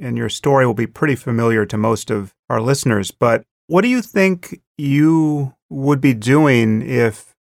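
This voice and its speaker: A man's voice: medium pitch, creaky, no echo, studio quality. A man hosting an interview podcast who asks thoughtful, probing questions. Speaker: podcast host.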